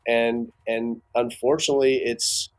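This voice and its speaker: A man giving casual expert commentary as an interview guest, building his points haltingly with repeated words. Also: medium pitch, little pitch movement.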